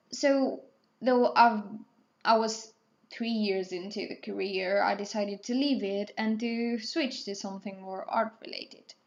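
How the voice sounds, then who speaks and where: Young woman, non-native english speaker, slow unhurried talk, rambling with ums and restarts, slightly muffled lo-fi sound. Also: fairly high pitch, vocal fry, darkish tone. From Portugal, raised in Spain. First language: Spanish or Portuguese. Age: 20s. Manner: rambling, self-correcting, hesitant with ums. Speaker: artist, channel host. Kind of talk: casual talkthrough over painting.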